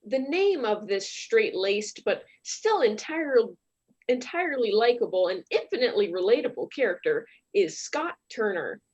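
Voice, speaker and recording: Woman doing sassy comedy narration, slightly high, super animated. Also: moderate pace, slightly rough, highs cut off. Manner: mock-dramatic, sassy. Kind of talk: comic radio-play narration.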